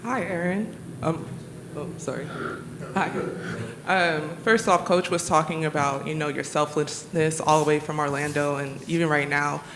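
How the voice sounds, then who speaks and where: A reporter asks a polite, slightly hesitant question at a press conference, in a level, mid-pitched voice with little movement. Faint noise sits under the voice. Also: steady tone, moderate pace. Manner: polite, hesitant, filler-heavy.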